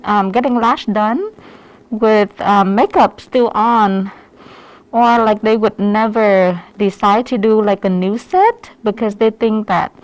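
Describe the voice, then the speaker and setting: A woman's voice: mid-range voice, rough recording. A woman from Vietnam with a Vietnamese accent, casually explaining her craft on a live stream in somewhat hesitant, non-native English phrasing. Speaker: lash artist giving a demonstration.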